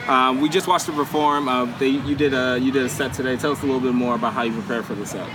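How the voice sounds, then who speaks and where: A man's voice: medium pitch, fairly even intonation, quick pace, noisy background. A man hosting a podcast interview at an outdoor street festival, talking in a laid-back, rambling way and laughing often. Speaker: podcast host. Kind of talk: casual interview chat. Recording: distant mic, loud music behind. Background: loud music from the festival.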